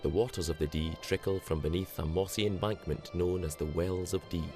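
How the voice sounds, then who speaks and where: A man narrates a nature documentary in a steady, descriptive voice. His tone is very deep. Clear music plays behind him. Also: fairly quick.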